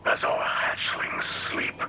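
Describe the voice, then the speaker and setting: An actor playing a grave, weary alien leader in a game cutscene, with a breathy, whispery rasp and a solemn, halting, formal delivery. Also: male voice, natural voice. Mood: weary, resolute. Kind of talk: dramatic game cutscene monologue. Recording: loud music under the voice.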